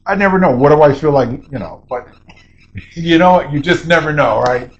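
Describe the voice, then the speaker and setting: Deep-voiced older man, rambling chat. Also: normal speed, highs cut off, a little hiss or hum. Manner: rambling, animated, many restarts. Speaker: podcast co-host. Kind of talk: casual chat.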